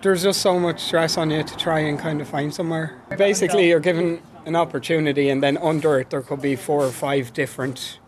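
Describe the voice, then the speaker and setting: A man's voice: fairly high pitch, steady tone, brisk, few pauses. A young man, a student, speaking in a frustrated, rambling way.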